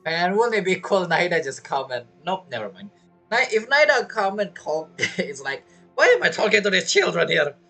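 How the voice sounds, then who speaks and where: Gameplay commentator: man, very animated pitch, jokey and informal, soft game score behind. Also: normal speed, gravelly, faint music behind. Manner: jokey, acts out imagined quotes, slangy. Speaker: game streamer.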